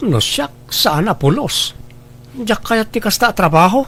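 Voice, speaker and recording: A man's voice: high voice, sing-song, measured pace, a bit bright, slightly rough, full character voice. An actor playing a plain-spoken, disapproving husband and father in a scripted audio drama, blunt and cautioning. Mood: scolding, skeptical.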